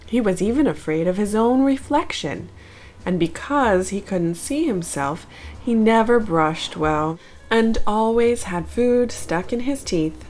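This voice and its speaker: A woman narrating a children's picture book aloud in an expressive storytelling style. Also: medium pitch, unhurried, faint music behind. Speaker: storybook narrator, channel host.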